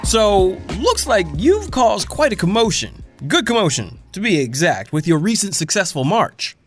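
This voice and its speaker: A man's voice: high voice, sing-song, moderate pace, faint music behind. A man playing an upbeat, slangy radio DJ host in an audio drama, showy and breezy. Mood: hyped, friendly. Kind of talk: scripted audio drama, radio show banter.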